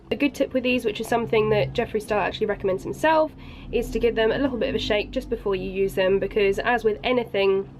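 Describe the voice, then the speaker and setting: A woman's voice: medium pitch, slight background noise. A woman with a bunged-up voice from a cold, chatting casually through a makeup review at home. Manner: chatty, apologetic, frequent ums. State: congested from a cold. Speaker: beauty vlogger. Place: bedroom at home by a busy road.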